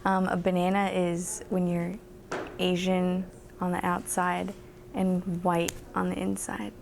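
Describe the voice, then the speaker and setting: A seventeen-year-old woman, a high school senior, answering interview questions in a matter-of-fact way. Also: monotone, takes it slowly, gravelly, crowd noise.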